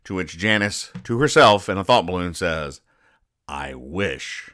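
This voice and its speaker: Deadpan male podcast host, fairly low pitch, crisp delivery, explains things very literally. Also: measured pace. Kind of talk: reading and explaining comic strips.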